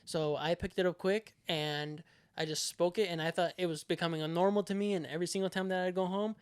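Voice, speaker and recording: Young male co-host from Mexico, raised voice pitch, loose and rambling, quick to laugh. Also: fairly even intonation, clean tone, no echo. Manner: loose and rambling, laughs often, swears casually. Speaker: podcast co-host. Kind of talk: casual chat.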